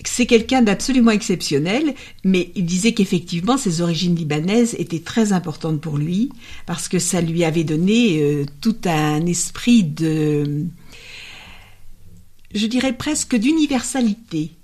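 A woman's voice: lowish voice, expressive, measured pace, a little mellow. A woman hosting a conversational interview, talking in a discursive, storytelling way as she leads into her questions. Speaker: interviewer, host.

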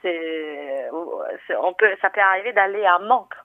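A woman's voice: fairly low pitch, moderate pace. A woman from Italy with an Italian accent, answering interview questions in an expansive, conversational way, telling stories as she goes. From Italy, born in Rome. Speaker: comedian and actress, interview guest. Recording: tinny narrow telephone line.

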